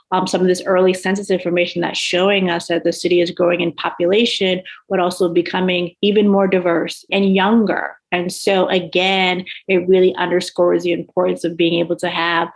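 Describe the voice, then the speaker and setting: A woman's voice: on the low side, vocal fry. A woman speaking as an academic panelist in an unscripted discussion, reflective and explanatory. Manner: reflective, explanatory, often checks with "right?".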